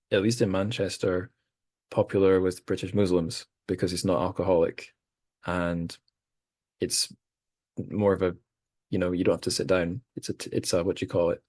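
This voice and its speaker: A man's voice: low voice, fairly even intonation, normal speed, a little mellow, dry recording, clean studio sound. A man hosting a podcast, chatting casually over a video call and telling rambling, amused stories. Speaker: podcast host. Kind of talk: casual chat.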